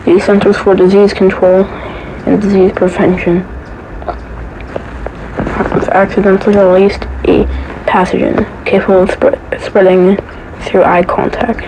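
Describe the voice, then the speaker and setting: A woman reading a scripted emergency alert announcement in a halting, amateur read-out manner. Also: medium pitch, background noise. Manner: flat, halting read-out delivery with stumbles. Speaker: narrator of a homemade emergency alert scenario.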